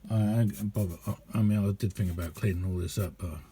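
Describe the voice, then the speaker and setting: A man giving a casual, rambling hands-on demonstration as a radio hobbyist, chatty and hesitant in an unhurried way. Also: lowish voice, steady tone, mellow, breathy edge.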